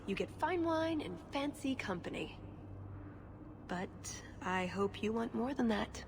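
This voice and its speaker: A woman's voice: mid-range voice, noisy background. A woman playing a confident, wry elven assassin-thief in a video game cutscene, teasing and coolly self-assured.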